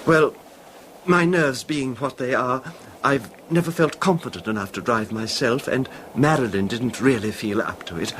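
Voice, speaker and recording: An actor playing a nervy, anguished rich husband making a halting, stammering confession in a 1970s radio horror drama. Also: male voice, mid-range voice, fairly bright tone, close to an everyday voice. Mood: uneasy, reminiscing. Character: anguished, nervy, wealthy husband recalling his wife's betrayal. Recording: noisy old 1970s radio recording.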